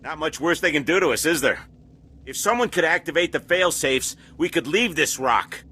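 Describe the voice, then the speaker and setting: High, tense colony survivor. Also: male voice, expressive, moderate pace, sharp tone, husky, full character voice. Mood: tense, resigned. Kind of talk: video game voice acting.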